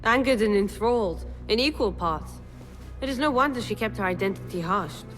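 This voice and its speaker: An actress plays a sly informant with a wry, mocking delivery. Her voice carries a long reverb, and soft music sits behind it. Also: medium pitch, animated, moderate pace, natural voice. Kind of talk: video game cutscene acting.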